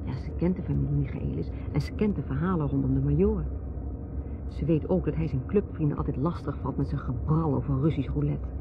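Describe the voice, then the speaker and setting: A woman's voice: low voice, fairly quick. An actress playing a guarded, troubled society mother in a radio drama, speaking with hesitant reserve. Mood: confiding. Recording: noisy, muffled old radio drama recording.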